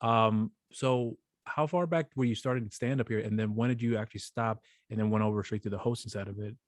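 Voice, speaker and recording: Man in his thirties, host, quick and rambling, lowish voice, dry close studio mic. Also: fairly even intonation, dry recording. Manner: rambling, repeats himself in questions. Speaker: podcast host. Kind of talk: casual interview. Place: home studio with a shelf wall of tapes. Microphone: studio mic with headphones.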